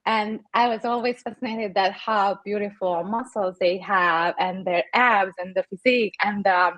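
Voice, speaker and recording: A young woman with a Hungarian accent, a native Hungarian speaker, telling her story as a podcast guest in an animated way. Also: medium pitch, normal speed, no echo, low-quality recording. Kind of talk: interview storytelling.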